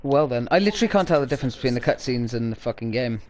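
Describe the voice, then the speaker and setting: Man, gaming host, medium pitch, casual commentary, laid-back, sweary. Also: lively intonation, rapid-fire, darkish tone, rough recording.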